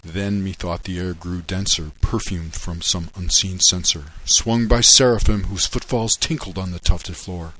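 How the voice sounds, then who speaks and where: A man reading gothic poetry aloud in a theatrical, brooding, measured delivery. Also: low-pitched, fairly even intonation, sharp tone, a little hiss or hum, poor audio. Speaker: reader of poetry. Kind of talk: dramatic poetry recitation.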